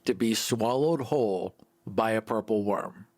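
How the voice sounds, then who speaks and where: A man's voice: lowish voice, a little slow, darkish tone, no room sound. A man chatting casually as a podcast host, blunt and opinionated, repeating himself for emphasis.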